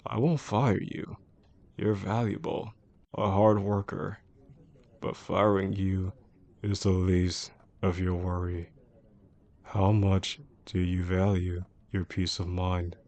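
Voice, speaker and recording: A man playing a possessive, menacing boss in a romantic audio roleplay, speaking in a controlled, formal way with a gravelly voice. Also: low voice, flat delivery, a little mellow, heavily acted. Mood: cold, threatening. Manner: controlled, deliberate, formal wording. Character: possessive, menacing male boss obsessed with an employee.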